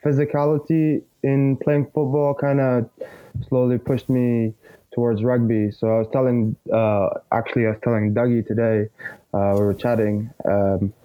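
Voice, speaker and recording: A man's voice: mid-range voice, level tone, normal speed, warm tone, low-quality recording. A man in his twenties from Egypt, a rugby player, chatting casually as a podcast guest, laughing often and using many fillers. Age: 20s.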